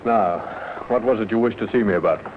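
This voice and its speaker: An actor playing a brisk, formal police inspector who probes with questions in an old radio crime drama. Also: male voice, lowish voice, lightly acted. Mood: probing. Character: brisk, probing male Scotland Yard police inspector. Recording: tinny, noisy old radio drama recording.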